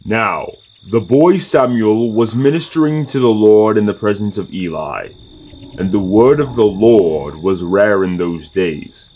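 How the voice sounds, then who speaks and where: A man's voice: lowish voice, lively intonation, a little slow, mellow. A man narrating scripture from a script in an audio drama.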